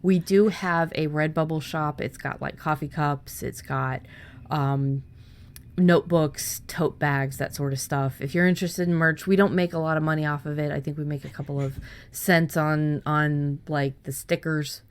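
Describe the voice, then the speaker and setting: A woman's voice: low-pitched, steady tone, normal speed. A woman hosting a writing podcast, talking casually with frequent restarts and repeated words. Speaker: podcast host.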